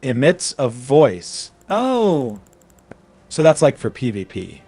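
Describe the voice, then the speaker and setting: Gravelly, lively man streaming a game. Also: medium pitch, slow. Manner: chatty, reacting aloud as he plays. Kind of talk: casual gameplay commentary.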